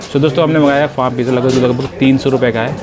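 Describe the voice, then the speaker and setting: A man's voice: medium pitch, fairly even intonation, racing, music in the background. A man in his thirties filming a casual walking-tour vlog on a handheld phone, chatting to his viewers and explaining prices as he moves between a parking lot and a restaurant. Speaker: travel vlogger. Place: outdoor parking lot and airplane restaurant interior. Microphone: handheld phone camera, selfie framing.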